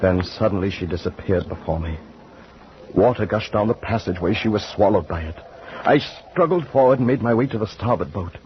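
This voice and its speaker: Grave, low-voiced male radio narrator. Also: slight background noise. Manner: grave, measured storytelling. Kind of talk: scripted narration, 1940s radio drama. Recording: muffled old radio recording.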